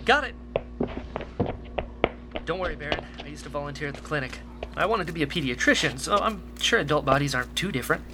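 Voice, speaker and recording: A woman's voice: low voice, lively intonation, fast, long pauses, over music. Woman playing an earnest, anxious, swooping young rebel. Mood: flustered. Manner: hesitant, earnest. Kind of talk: sci-fi audio drama acting.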